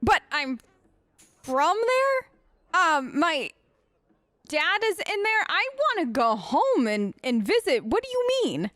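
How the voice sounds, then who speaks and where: A woman hosting a casual role-play let's play, talking in a playful, theatrical, halting way as her character. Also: sits high, big pitch swings, fairly bright tone, no room sound, studio quality. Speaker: gaming host, role-playing as her character.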